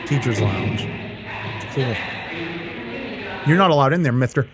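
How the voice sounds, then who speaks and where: A man's voice: mid-range voice, expressive, measured pace, noisy background. A man hosting a video, giving casual reaction commentary with rambling, informal asides. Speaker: host, horror video creator. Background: music.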